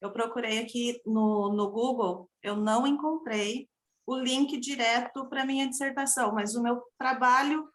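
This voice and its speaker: A woman's voice: on the high side, steady tone, measured pace. A woman joining a video call from her phone, apologetic and explaining her research step by step. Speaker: participant, language researcher. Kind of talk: casual video-call chat. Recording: roomy phone audio on a call.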